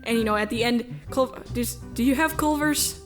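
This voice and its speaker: A woman from the US Midwest chatting casually as an interview guest, easygoing, rambling and quick to laugh. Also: fairly high pitch, faint music behind. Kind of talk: casual chat.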